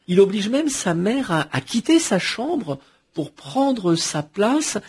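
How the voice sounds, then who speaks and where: A man hosting a radio book interview, asking formal, measured questions. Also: high-pitched, big pitch swings, slightly rough. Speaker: radio host.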